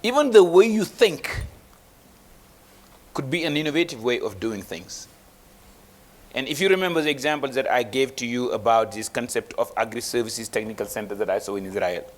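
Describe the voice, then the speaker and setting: A man's voice: mid-range voice, expressive, moderate pace. A man speaking as an investment banker on a panel, emphatic, with rhetorical questions and frequent restarts. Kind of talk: motivational panel talk.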